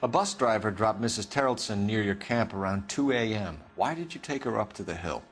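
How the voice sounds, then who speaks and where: Earnest, firm American police detective. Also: male voice, lowish voice, animated, a little fast, faint music behind. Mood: firm, accusing. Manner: firm, clipped, formal questioning. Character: earnest, by-the-book 1940s police detective, adult man. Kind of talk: video game cutscene acting, police interrogation.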